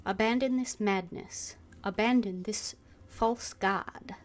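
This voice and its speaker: A woman's voice: medium pitch, slow, natural voice. Woman reading as an urgent fantasy messenger. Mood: urgent pleading. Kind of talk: dramatic reading of fantasy game text.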